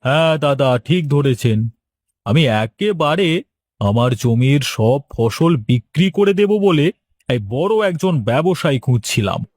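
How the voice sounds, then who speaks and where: A man's voice: a little high, animated, measured pace, darkish tone, dry recording, clean studio sound. A man playing a polite, patient village vegetable farmer in a Bengali cartoon. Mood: polite, earnest. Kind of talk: cartoon story dialogue.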